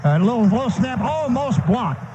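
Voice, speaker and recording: A man's voice: extremely high pitch, sing-song, unhurried. An American man doing live radio play-by-play of a football game, describing the action in short, vivid bursts. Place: stadium broadcast booth. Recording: dull, noisy archived radio broadcast.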